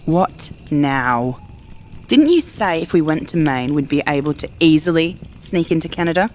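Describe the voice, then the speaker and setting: A woman's voice: low voice, animated, normal speed, darkish tone, a little hiss or hum. A woman playing a weary, sarcastic female survivor. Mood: anxious. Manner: sarcastic, grumbling. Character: Jackie, weary complaining female survivor in a plague-ridden America. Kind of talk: naturalistic audio drama dialogue.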